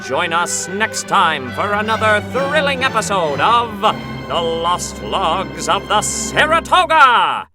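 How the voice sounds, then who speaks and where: A man's voice: high-pitched, sing-song, slow, few pauses, exaggerated voice, low-quality recording. A man playing a dramatic 1950s radio announcer, theatrical and showy. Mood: grand, upbeat. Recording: music playing behind the voice.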